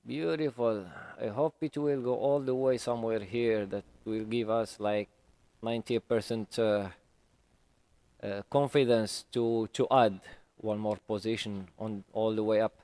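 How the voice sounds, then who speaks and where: A man with English as his third language, live-streaming his trading and talking through it slowly with many fillers at a home desk. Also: on the low side, fairly even intonation, mellow, no room sound. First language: not English. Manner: slow, hesitant, many fillers.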